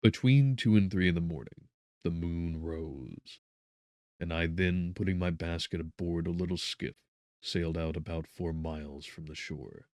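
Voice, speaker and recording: A man narrating a gothic novel as a scripted audiobook, with measured, dramatic phrasing. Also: low voice, steady tone, a little mellow, dry recording, studio quality.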